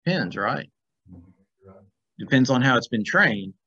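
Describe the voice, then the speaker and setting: A man's voice: mid-range voice, lively intonation, measured pace, sharp tone, husky. A middle-aged man teaching an informal Bible class over a video call, reading aloud and commenting conversationally with questions to the class. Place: small plain room, indoors. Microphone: video call, computer microphone. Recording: dull, lo-fi video call audio.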